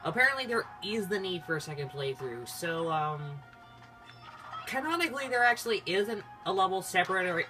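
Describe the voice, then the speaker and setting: Halting gamer commentary over game music. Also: mid-range voice, very animated. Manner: halting, rambling, self-interrupting. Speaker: gaming video host. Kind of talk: casual playthrough commentary. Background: video game music.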